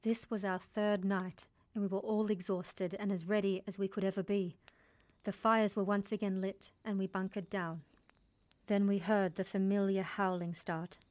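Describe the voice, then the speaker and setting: A woman's voice: medium pitch, a little mellow, close to an everyday voice. A woman playing a resolute young settler mother, telling her story in intense, dramatic first-person narration. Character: resolute young settler mother recounting a wild dog attack.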